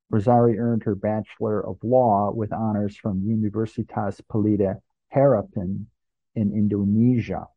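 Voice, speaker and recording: A man's voice: on the low side, no echo, phone-quality sound. A man hosting an interview podcast, introducing a guest and asking questions.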